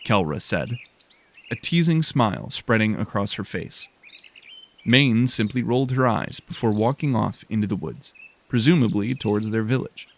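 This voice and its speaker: Low-voiced male storyteller. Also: lively intonation, normal speed. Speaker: narrator. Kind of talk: scripted audio drama narration.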